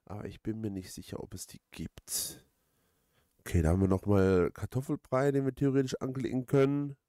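A man giving casual let's play commentary, haltingly thinking aloud and repeating his words. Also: on the low side, level tone, dark tone, no room sound, studio quality. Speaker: let's player. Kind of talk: casual, rambling game commentary.

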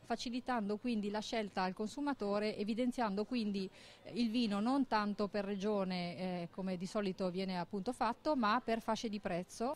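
Businesslike woman presenting with a handheld mic. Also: medium pitch. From Italy. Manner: measured, businesslike, with frequent hesitations. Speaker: marketing director. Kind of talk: corporate presentation. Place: inside a supermarket.

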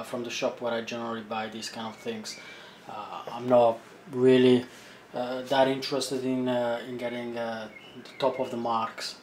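A man's voice: medium pitch, a little mellow, far from the mic, slight background noise. A man in his thirties, a home cockpit builder, explaining each step of a DIY job as he works. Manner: explains steps plainly as he works. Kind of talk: DIY tutorial narration. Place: indoor room at home. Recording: roomy echo, voice off-mic.

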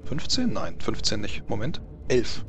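A man's voice: fairly low pitch, wildly swooping pitch, unhurried, a bit bright. A man narrating and refereeing a tabletop roleplaying game as game master. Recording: music playing behind the voice. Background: music.

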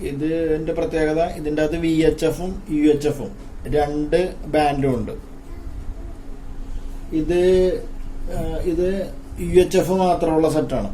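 Mellow man, slightly noisy recording. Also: fairly high pitch, steady tone, unhurried, long pauses. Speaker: tech video presenter. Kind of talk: informal hands-on gadget demonstration.

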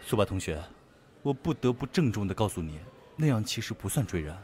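Man playing a lively, low-voiced male student. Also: fairly quick. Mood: teasing, coaxing. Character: teasing, self-assured male student, object of a crush. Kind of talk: amateur Chinese audio drama acting.

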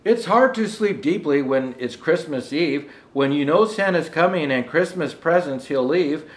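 An older man playing a jolly old Santa Claus, gently reading a rhyming storybook aloud with chatty asides. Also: a little high, animated, measured pace. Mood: storytelling. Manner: gentle storyteller, showing pictures to listeners. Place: indoor room set with fireplace and tree. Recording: echoey room.

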